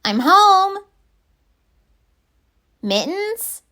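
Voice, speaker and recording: A woman's voice: very high voice, very animated, extremely slow, sharp tone, pitched above the performer's own voice, exaggerated voice. A woman voicing an exasperated, dramatic young girl T-Rex in a picture book read-aloud. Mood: calling out.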